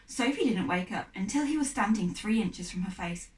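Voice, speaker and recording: A woman's voice: mid-range voice, expressive, normal speed, darkish tone. A woman, a teacher, reading a children's novel aloud as narrator. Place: echoey room, away from mic. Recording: echoey room, distant mic.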